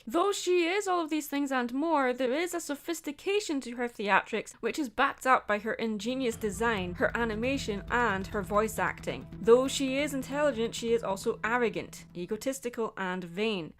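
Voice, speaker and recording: Higher-pitched woman narrating commentary over music. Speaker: video essay host. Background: background music.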